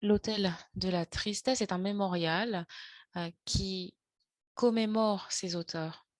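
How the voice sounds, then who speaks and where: A woman presenting in a webinar over a video call, speaking haltingly with frequent fillers and self-corrections. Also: medium pitch, fairly even intonation, no echo. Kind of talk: spoken lecture in a webinar.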